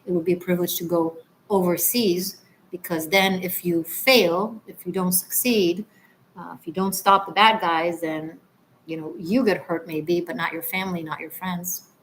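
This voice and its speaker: Woman from Israel, livestream host, laid-back rambling chat, lowish voice. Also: normal speed, slight background noise, poor audio. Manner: relaxed, chatty, with frequent restarts and soft trailing asides. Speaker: livestream host, tarot reader. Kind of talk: casual livestream chat reading comments.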